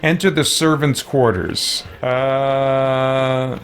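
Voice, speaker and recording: Male let's-player, average pitch, casual and dryly grumbling, talking to himself over soft game music. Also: fairly even intonation, drawn-out words. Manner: grumbling, wry, muttering to himself. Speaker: video game streamer playing and reacting. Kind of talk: casual let's play commentary.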